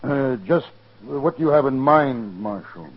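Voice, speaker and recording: An actor playing a cautious, reluctant but authoritative army major in a 1950s radio western. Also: male voice, mid-range voice, expressive, unhurried. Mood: firm, reluctant. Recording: dull lo-fi 1950s radio recording.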